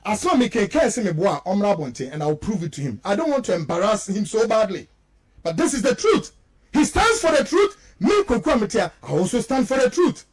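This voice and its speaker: High-pitched, animated Ghanaian male radio commentator. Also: fairly quick. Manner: emphatic, repetitive, rhetorical questioning. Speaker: radio talk show host. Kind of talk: impassioned political commentary.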